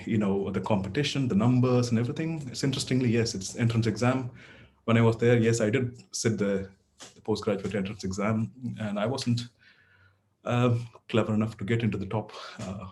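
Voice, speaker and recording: A man's voice: lowish voice, a little mellow. A middle-aged man whose first language is Tamil, a neurosurgeon giving an online lecture to medical students in a conversational way, with many fillers and restarts.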